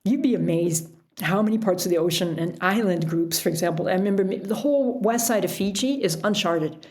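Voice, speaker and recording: A woman talking casually as an interview guest, telling things in an animated storytelling way with illustrative asides. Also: mid-range voice, brisk. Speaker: podcast guest, author and sailor.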